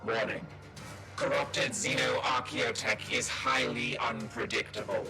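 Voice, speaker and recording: A man's voice: mid-range voice, steady tone, slow pace, vocal fry. A man playing a cautious, dutiful, coldly formal tech adept in a video game cutscene, giving clipped warnings. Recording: loud music behind.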